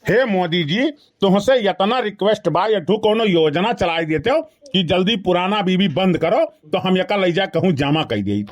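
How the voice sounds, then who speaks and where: A man's voice: high voice, animated, moderate pace, hardly any pauses. A man voicing an exasperated, skinny henpecked village husband in a comedy cartoon, grumbling repetitively with an Awadhi accent. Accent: Awadhi, eastern Uttar Pradesh. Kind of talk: rustic comedy cartoon dialogue.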